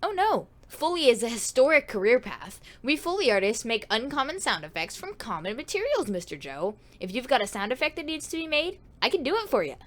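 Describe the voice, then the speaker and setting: Eager little boy, very high swooping voice, earnest, polite, naive, audio comedy. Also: sing-song, fairly quick, hardly any pauses, a bit bright. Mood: eager, proud. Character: earnest, excitable little boy Foley sound artist. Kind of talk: audio comedy drama acting.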